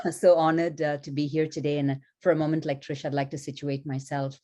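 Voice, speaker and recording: A woman panelist who grew up in India, speaking in a thoughtful, measured way with frequent "you know", joining a panel over a video call. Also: sits low, flat delivery, dark tone. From born in Malaysia, grew up in India. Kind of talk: panel discussion remarks.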